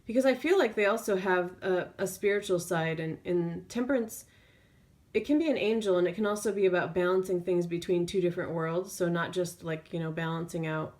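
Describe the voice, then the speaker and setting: A woman tarot reader speaking off the cuff in an intuitive reading, feeling her way through phrases with drawn-out pauses. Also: lowish voice, darkish tone.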